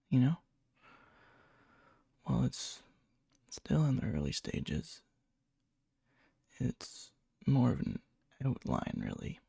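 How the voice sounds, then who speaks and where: A man playing a needy, lovesick young best friend in an ASMR roleplay, speaking softly and hesitantly with a breathy, often whispered murmur and nervous stammers. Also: medium pitch, no echo, clean studio sound, exaggerated voice. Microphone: close microphone, dry.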